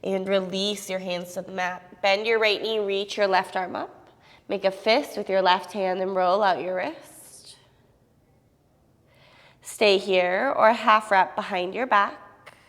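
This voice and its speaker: A young woman teaching a yoga class on a clip-on mic, giving calm, steady cues with a slight sniffle from a cold. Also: medium pitch, takes it slowly, long reverb tail. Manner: calm, steady cueing with soft fillers. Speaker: yoga instructor.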